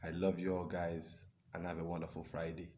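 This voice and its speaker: A man's voice: low-pitched, completely flat delivery, warm tone, slight background noise. A man in his thirties from Nigeria with a Yoruba-tinged Nigerian accent, chatting earnestly to his phone camera in a small room and often checking in with "okay?". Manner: chatty, earnest, drawn-out words and frequent "okay?". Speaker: vlogger. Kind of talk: casual selfie vlog talk. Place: indoors, small room with curtains. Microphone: phone at arm's length.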